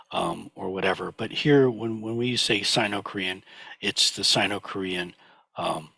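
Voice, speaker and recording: A man lecturing over slides, a little hoarse and husky while he gets over a cold. His voice is low-pitched yet bright and forward. He talks haltingly, with many fillers and restarts. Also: dry recording. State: recovering from a cold, slightly hoarse. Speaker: teacher of a sutra class.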